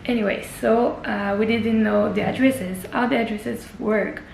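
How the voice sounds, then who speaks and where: A woman's voice: a little high, fairly even intonation, measured pace, gravelly, darkish tone, roomy sound, slight background noise, poor audio. A young woman whose first language is French, chatting earnestly in a personal travel vlog, often restarting her sentences. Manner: earnest, many restarts and fillers. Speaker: travel vlogger. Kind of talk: casual personal vlog talk.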